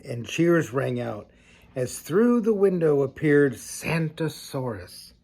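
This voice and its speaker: Older male storybook reader, grandfatherly, slow and expressive, with noticeable gravel in the voice. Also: on the high side. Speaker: grandfather reading a storybook aloud. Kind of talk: expressive children's storybook reading with casual asides.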